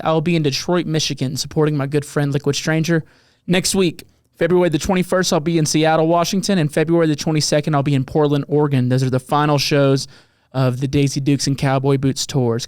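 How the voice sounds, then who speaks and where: A man with a Southern US accent hosts a loose, profane podcast chat. His voice sits a little high and sounds smooth and clean. The mic is close and the room is dry. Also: a little mellow, no room sound. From American South. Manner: laid-back, swears freely, lots of fillers. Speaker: podcast host and touring musician.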